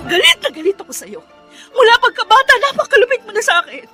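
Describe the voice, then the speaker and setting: A woman's voice: very high voice, very animated, fast, sharp tone, faint music behind, exaggerated voice. A woman playing a wounded, bereaved young daughter in a Filipino radio drama, with an intense emotional delivery and a Filipino accent. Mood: bitter anguish.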